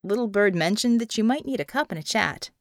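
A woman's voice: mid-range voice, expressive, a little fast, no room sound, natural voice. A woman voicing a practical, kindly but skeptical female comrade in an audiobook, with dry humor. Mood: dryly caring.

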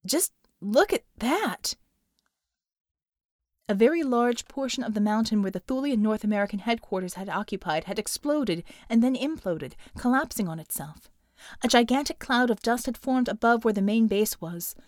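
A woman's voice: fairly high pitch, a little fast, darkish tone, no echo, clean studio sound. A woman narrating a scripted dramatic action story as an audiobook reader.

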